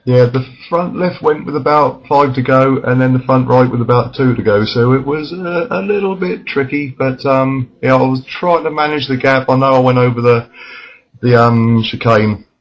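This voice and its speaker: Male sim racer interviewee, mid-pitched, unwell with failing voice, hesitant delivery, dull lo-fi audio. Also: normal speed. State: unwell, losing his voice. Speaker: sim racing driver being interviewed. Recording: dull, lo-fi voice chat.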